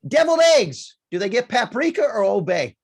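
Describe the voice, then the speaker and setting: A man hosting a casual interview show, playfully teasing his guest with quick-fire questions. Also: high voice, sing-song, a little mellow, husky. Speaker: show host.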